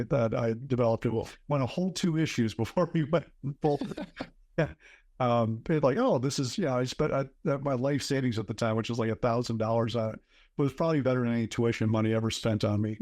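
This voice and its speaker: A man's voice: mid-range voice, darkish tone, no echo, clean studio sound. A man in his fifties from southern Wisconsin, telling long rambling stories as a guest in a casual interview. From southern Wisconsin, USA. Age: 50s. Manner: rambling storyteller with many fillers. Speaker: guest, author and game designer.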